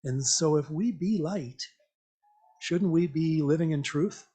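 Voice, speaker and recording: A man giving an informal, interactive church message in a conversational, reflective, halting way, often checking in with questions. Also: on the high side, mellow, slightly rough. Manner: invites listeners with questions. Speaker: lay church speaker.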